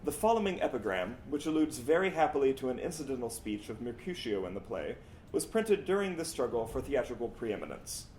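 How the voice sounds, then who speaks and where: A man reading a scripted historical account aloud in an expressive, formal, oratorical manner. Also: mid-range voice, fairly quick. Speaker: reader. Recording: roomy echo, background noise.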